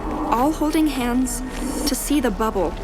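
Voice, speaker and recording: A woman's voice: fairly high pitch, expressive, a little slow. A woman playing a young townswoman who tells the story in dramatic first-person narration for an audio drama. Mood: awed recounting. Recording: loud music under the voice.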